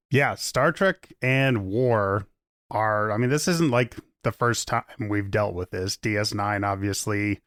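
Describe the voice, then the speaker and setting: A man hosting a podcast, talking in a relaxed, conversational way and reading out calls. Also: on the low side, no echo. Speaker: podcast host. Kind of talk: casual podcast chat.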